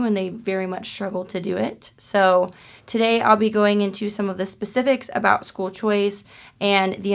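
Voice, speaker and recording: Young woman, podcast host, reads intro from script, monotone, vocal fry. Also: mid-range voice. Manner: even, read-aloud delivery.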